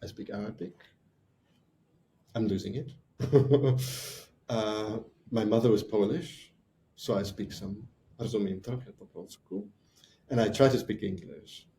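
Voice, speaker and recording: A man with a low, gravelly voice and a mellow, dark tone talks casually as a guest. He chimes in with short agreements and laughs easily. A faint rumble sits behind him. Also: unhurried. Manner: brief affirming interjections, laughs easily. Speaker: guest, wine retreat co-host. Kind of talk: casual chat.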